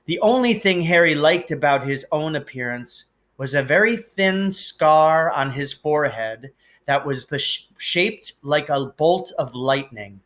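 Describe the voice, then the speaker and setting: A man reads a storybook aloud at an unhurried pace. His phrasing is deliberate and emphatic, giving the narration plenty of expression. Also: fairly high pitch, animated, fairly bright tone. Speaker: teacher reading aloud.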